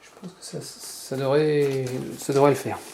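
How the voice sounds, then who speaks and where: Guy doing a casual diy how-to, soft mellow voice w some fry, bit of noise behind. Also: medium pitch, little pitch movement, moderate pace. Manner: informal, muttering step by step while working. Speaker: motorcycle gear tutorial vlogger. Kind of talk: casual hands-on tutorial talk.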